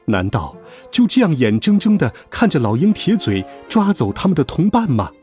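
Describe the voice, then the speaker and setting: A man narrating a children's story in an expressive, scripted storytelling style. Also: a little high, moderate pace. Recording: music behind the voice. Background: music.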